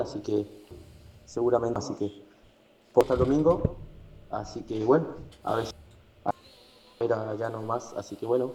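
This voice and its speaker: A man's voice: medium pitch, darkish tone, rough recording. A man sending a voice message to a radio show, hesitant and rambling. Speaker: caller.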